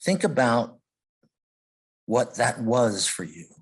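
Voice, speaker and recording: Guy doing a guided meditation, really slow, kinda husky, gentle with big gaps between phrases. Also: medium pitch, big pitch swings. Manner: gentle, halting, with long pauses between phrases. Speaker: meditation leader.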